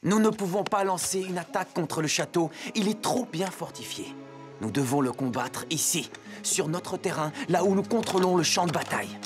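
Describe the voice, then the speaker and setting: A man's voice: high voice, animated, normal speed, music in the background. Earnest young rebel king, cartoon hero. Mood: firm. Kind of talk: dubbed animated adventure acting.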